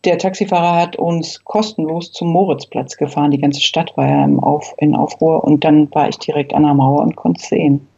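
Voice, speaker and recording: A woman's voice: deep voice, steady tone, moderate pace, gravelly, few pauses, warm tone, husky, dull audio. A woman in her fifties from Berlin, recollecting reflectively as an interview guest and carefully qualifying what she says. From Berlin, East Germany.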